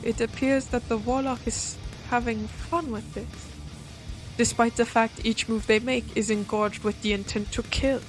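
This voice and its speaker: A woman's voice: fairly high pitch, steady tone, measured pace, over music, close to an everyday voice. A woman voicing a composed, formal non-human observer in an audio roleplay, analytical and detached yet curious. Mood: detached, intrigued.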